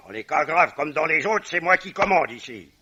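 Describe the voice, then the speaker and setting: An actor playing a gruff, middle-aged Irish clan chief, commanding and sarcastic, in 1950s French radio drama style. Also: male voice, on the high side, animated, normal speed, pushed and bright, full character voice. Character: gruff, bossy Irish clan patriarch, hot-headed and scornful. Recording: dull, band-limited old radio recording.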